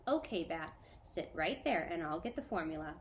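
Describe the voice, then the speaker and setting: A woman voicing a calm, kind mother in a children's story, patient and gently reassuring. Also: on the low side, normal speed, a little hiss or hum, close to an everyday voice. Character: calm kind mother caring for a baby skunk.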